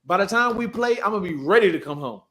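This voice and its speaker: Give a young American man with a slightly high-pitched voice. He talks sports casually, with slang and loose swearing, like a podcast co-host bantering with friends. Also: expressive, quick pace, over a noisy crowd. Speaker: podcast co-host, pro football player.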